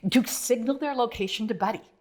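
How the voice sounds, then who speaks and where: A woman reading a children's book aloud as its author, with dramatic, expressive narration and chatty asides. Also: a little high, quick pace. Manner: dramatic, animated.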